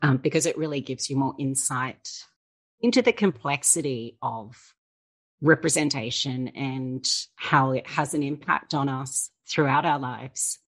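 A woman's voice: very deep voice, a little slow, breathy edge. A woman interviewer asking thoughtful questions and responding to a guest's answers.

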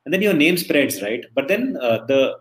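A man's voice: medium pitch, sing-song, normal speed. A middle-aged man from India, a guest in a casual interview, talking in a chatty storytelling way with many restarts. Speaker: interview guest, emcee and entertainer.

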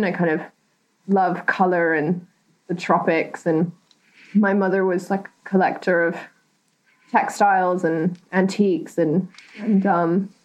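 A woman's voice: on the low side, muffled recording. Woman guest from Thailand, rambling and giggly, filler-heavy casual talk. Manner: rambling, laughs often, many fillers. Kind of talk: casual chat.

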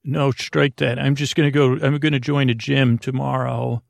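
A man hosting a bedtime podcast in a creaky voice, meandering and halting, often stammering and repeating himself in a soothing, friendly way. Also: medium pitch, little pitch movement, dry recording. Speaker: podcast host. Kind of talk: rambling bedtime monologue.

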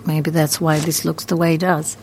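Low-voiced woman, chatty. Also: little pitch movement, moderate pace, a little mellow, a little hiss or hum. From Croatia. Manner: chatty, hesitant with many ums. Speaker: theater founder. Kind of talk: guided tour interview.

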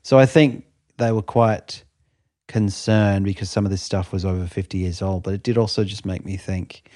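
A man's voice: low voice, fairly even intonation, normal speed, warm tone, no room sound, clean studio sound. A man telling a story in casual chat, amused, laughing often and restarting his sentences.

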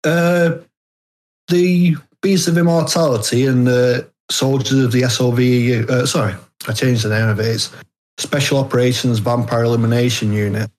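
A man from the north of England with a northern English accent, answering interview questions casually and haltingly as a guest. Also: mid-range voice, steady tone, a little mellow, low-quality recording. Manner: hesitant, halting.

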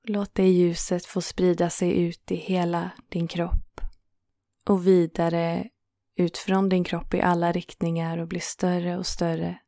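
Calm lady doing a guided meditation, soothing and pretty monotone, really dry close sound. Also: lowish voice, no room sound. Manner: calm, soothing, unhurried with gentle pauses. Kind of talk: guided yoga nidra relaxation.

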